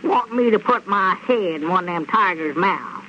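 An actor playing a timid, stubborn old storekeeper with a rural Southern US accent in a folksy radio comedy. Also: male voice, high voice, expressive, a little fast. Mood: fearful grumbling. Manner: folksy, plaintive grumbling. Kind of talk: 1930s radio comedy acting. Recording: dull, noisy old radio recording.

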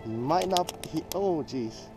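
Guy from new zealand vlogging his fishing on a jetty, slightly high voice, music playing under him, thinks out loud. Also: a little slow, rough recording. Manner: chatty, excitable, thinks aloud. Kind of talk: casual running commentary while fishing. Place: outdoors on a rocky jetty by the sea. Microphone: head-mounted action camera.

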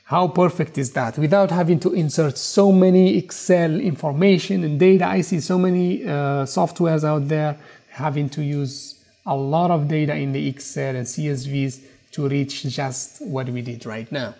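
A man walking through a software tool in a screen-recorded tutorial, explaining step by step in slightly non-native English. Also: a little high, fairly even intonation, normal speed. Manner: explanatory, repeats "okay" and "as you can see". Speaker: tutorial presenter.

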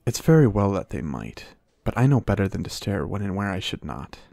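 A man playing a reserved, dry-witted American knight in a fantasy ASMR roleplay, speaking in measured, formal banter. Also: sits low, big pitch swings, creaky, dark tone, no echo, exaggerated voice. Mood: stern, reserved.

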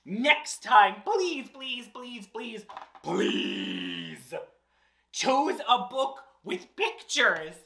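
Drag queen doing kids storytime, bright punchy voice, big playful over the top delivery, bit of room echo. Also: mid-range voice, huge pitch swings, drawn-out words, vocal fry. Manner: theatrical, exaggerated, playful delivery. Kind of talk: animated children's read-aloud and chatty presenting. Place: small indoor set, seated in an armchair. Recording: roomy indoor echo.

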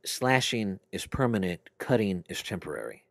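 A man making a prank phone call with deliberate, creepy insinuation. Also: sits low, sing-song, creaky, dry recording. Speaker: prank caller. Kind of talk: prank phone call in character as a film slasher.

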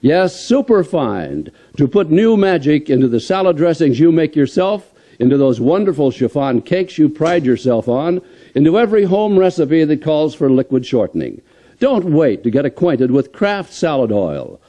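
A man's voice: a little high, big pitch swings, normal speed, mellow. An older man reading scripted announcements and sponsor copy in the style of an old-time radio announcer. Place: live stage in a convention room. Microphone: stand microphone on stage.

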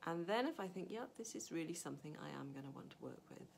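A woman's voice: sits low, animated, quick pace, gravelly, a little mellow, a little hiss or hum. A middle-aged woman novelist talking to camera from her home study, chatty and thinking aloud with fillers and restarts. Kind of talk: casual advice talk to camera. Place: home study with a map on the wall.

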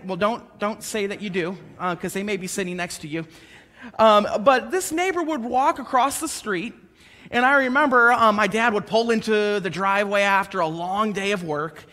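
A man in his thirties preaching a sermon on a church stage, conversational and animated as he tells personal stories. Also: high voice. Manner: conversational and animated, gesturing while pacing the stage. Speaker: pastor. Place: church stage, large indoor room. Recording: reverberant church hall.

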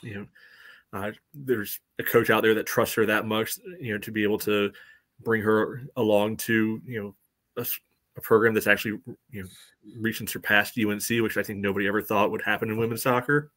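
Bright, forceful man with a lowish voice. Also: level tone. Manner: halting, peppered with "you know". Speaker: sports podcast co-host. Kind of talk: casual sports commentary. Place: room at home, video call.

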